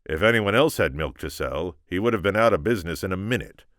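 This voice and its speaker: A man reading a story aloud as expressive narration, theatrical and deliberate. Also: sits low, no echo, clean studio sound. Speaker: amateur audiobook reader.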